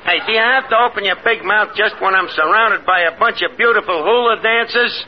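An actor playing a boastful, daydreaming New York wiseguy who brags with mangled grammar and hesitations in an old-time radio comedy. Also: male voice, high-pitched, a little fast, close to an everyday voice. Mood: exasperated. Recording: noisy, dull vintage radio broadcast.

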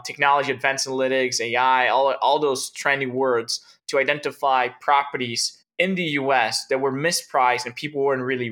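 A man's voice: medium pitch, pushed and bright. A man from Brazil, a tech founder and guest in an interview, explaining in a rambling way with lots of "like" and restarts. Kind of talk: casual interview talk.

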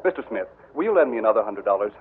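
An actor playing a naive, flustered nineteen-year-old losing at cards in a 1940s radio drama. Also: male voice, fairly low pitch, sing-song, very fast. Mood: desperate. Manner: pleading and flustered. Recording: tinny noisy old radio broadcast.

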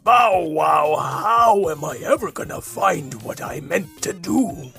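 A mopey, self-pitying monster, part dog, part dragon, part turtle, as a storyteller puts him on. He drags his words out very slowly with a gravelly rasp, in a lively up-and-down voice. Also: a little high, soft background music, full character voice. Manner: whiny, lost, self-pitying.

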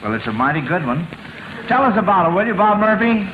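A man's voice: sits high, very animated, fast talker. A man hosting an old-time radio quiz show in an avuncular, hesitant, folksy way. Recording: dull, noisy, roomy old radio recording.

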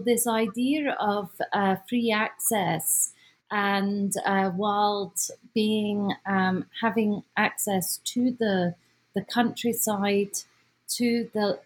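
A woman with a Scottish accent informally presenting an event as its organizer, speaking haltingly as she searches for words. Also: medium pitch, low-quality recording. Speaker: symposium organizer, guest speaker.

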